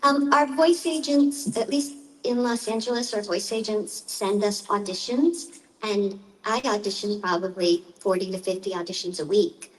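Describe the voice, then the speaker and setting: A woman's voice: mid-range voice, moderate pace. A chatty woman speaks casually on a convention panel, adding light jokes as she goes. She is picked up by a mic set some distance away in the room. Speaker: panelist voice actor.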